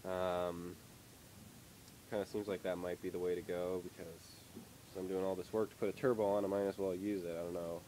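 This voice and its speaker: A man's voice: deep voice, flat delivery, brisk, mellow, slight background noise, low-quality recording. A young man with a nasal voice from a head cold, giving a rambling, hesitant update on his car project from a dim workshop. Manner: rambling, hesitant, lots of fillers. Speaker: car hobbyist vlogger. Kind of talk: casual garage update. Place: workshop with poor lighting.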